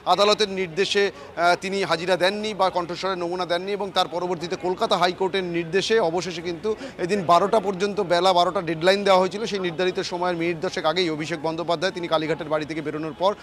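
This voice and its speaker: A man's voice: high-pitched, fairly even intonation, quick pace, fairly bright tone. A man reporting live on breaking news from outdoors in a city, in a wordy, emphatic way. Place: outdoors at a city courthouse compound.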